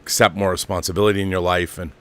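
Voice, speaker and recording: Man, fifties, slightly deep voice, casual online host, off-the-cuff fan monologue, emphatic, repetitive phrasing. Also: little pitch movement, fast, a bit bright, clear, resonant tone. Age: 50s. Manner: emphatic, repeats phrases for stress, mildly profane.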